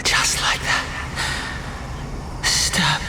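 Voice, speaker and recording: An actor voicing an eerie slumbering entity in a game, whispering seductively and menacingly as it lures its prey. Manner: slow whispering, drawn-out, hypnotic coaxing. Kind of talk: dramatic game voice acting. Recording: echoing voice under loud music.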